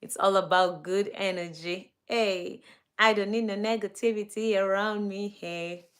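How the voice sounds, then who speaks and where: A young Kenyan woman hosts a relaxed live stream at an unhurried pace, her voice pitched in the middle. She chats with viewers, greets them by name and laughs often. Her talk is loose and full of fillers. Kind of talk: casual live-stream chat.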